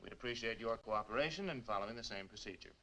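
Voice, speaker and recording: A man's voice: fairly low pitch, animated, fast, noisy background. An actor playing an official, courteous lawman in 1950s film style, on an old film soundtrack. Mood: official, matter-of-fact. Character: brisk, official male American lawman.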